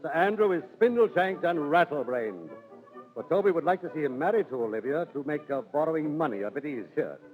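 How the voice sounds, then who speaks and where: A man's voice: fairly high pitch, lively intonation, a little fast. A man narrating in theatrical, declamatory 1930s radio storytelling style. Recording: tinny 1930s radio, music behind.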